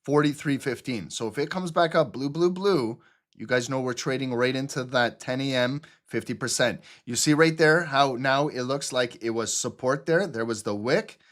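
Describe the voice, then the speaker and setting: A man talks viewers through a live market chart, explaining price levels and candles step by step. His pitch sits in the middle for a man. He keeps checking in with "okay?" and "right?" and often stops on "um" and "uh". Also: moderate pace, a bit bright. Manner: explanatory, repeats "okay?" and "right?", frequent fillers. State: throat clearing, choking on water. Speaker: trading channel host. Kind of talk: live market analysis walkthrough.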